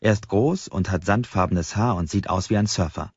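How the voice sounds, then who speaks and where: A man reads a novel aloud as an audiobook narrator. His voice is fairly low and husky. The recording sounds roomy and a little dull. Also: normal speed. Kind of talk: scripted first-person novel narration.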